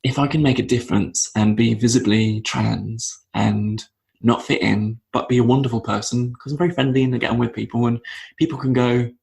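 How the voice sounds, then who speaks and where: Guest, male-sounding voice, gravelly, mid-pitched, earnest, halting. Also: steady tone, a little mellow. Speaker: podcast guest. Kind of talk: casual interview talk.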